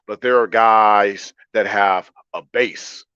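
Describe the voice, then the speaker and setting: A man with a low baritone hosts a livestream in a calm, relaxed way. He talks conversationally to his chat and repeats phrases to drive them home. The sound is slightly muffled and lo-fi. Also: takes it slowly, clean tone. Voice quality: deep baritone. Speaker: livestream host. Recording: roomy, slightly dull lo-fi audio.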